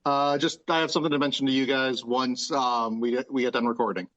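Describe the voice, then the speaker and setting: A man talks casually as part of a podcast panel. His pitch is average, and the audio is slightly dull and lo-fi. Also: fairly even intonation, brisk, fairly bright tone. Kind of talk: casual chat.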